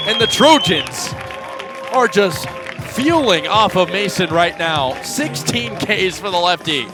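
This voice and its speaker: A man in his twenties doing radio play-by-play commentary of a baseball game. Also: sits high. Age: 20s. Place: outdoor ballpark broadcast. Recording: ballpark crowd and music behind. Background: stadium crowd noise and music.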